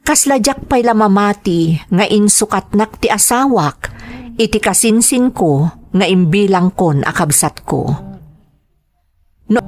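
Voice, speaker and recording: A woman playing a betrayed wife who recounts her life in a plaintive, measured way, in dramatized storytelling style. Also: mid-range voice. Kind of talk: first-person dramatized storytelling.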